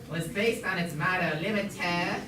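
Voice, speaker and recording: A young student voicing a formal puppet museum guide in an amateur school show, lecturing haltingly and stumbling over words. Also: mid-range voice, natural voice. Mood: formal, explanatory. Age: teenager or young student. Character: puppet museum guide, formal, mock-learned, named Schnitzelbach. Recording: noisy, echoey room, far from mic.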